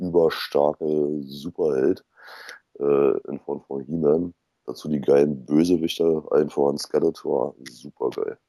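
A man, chatting casually as a podcast guest in a halting, enthusiastic way. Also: extremely low pitch, creaky, mellow, no room sound. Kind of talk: casual chat.

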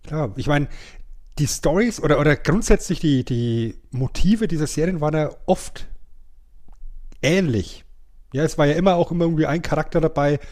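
A man with a slightly high voice co-hosts a podcast. He talks informally and colloquially, often repeating a word to drive his point home. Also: normal speed, a little mellow. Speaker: podcast co-host. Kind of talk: casual podcast chat.

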